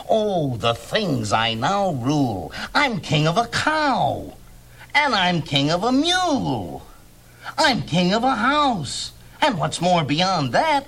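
A man's voice: sits high, vocal fry, bright, forceful tone, full character voice. A man voicing a pompous, boastful turtle king who bellows orders in a storybook reading. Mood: smug boasting.

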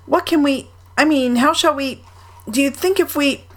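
An earnest, simple-hearted bear, voiced by a woman in a children's storybook. The voice sits a little high, kindly and guileless, with lively rises. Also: normal speed, slightly rough, heavily acted. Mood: distressed.

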